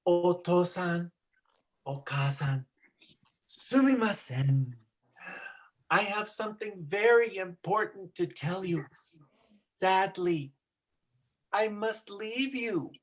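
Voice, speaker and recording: A performer playing an earnest, brave teenage boy hero in an amateur folktale reading, declaiming solemnly and deliberately. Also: medium pitch, animated. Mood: solemn, resolute.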